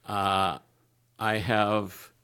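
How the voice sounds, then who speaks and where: A man speaking candidly as a podcast guest, halting and searching for his words. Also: lowish voice, level tone, pushed and bright, clear, resonant tone. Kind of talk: candid personal conversation.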